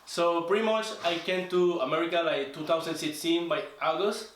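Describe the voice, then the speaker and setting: A man's voice: fairly high pitch, fairly even intonation. Venezuelan man, strong Spanish accent. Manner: earnest, halting, repeats words while searching for English. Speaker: trading student guest. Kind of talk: casual interview storytelling. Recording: echoey room, voice from a distance.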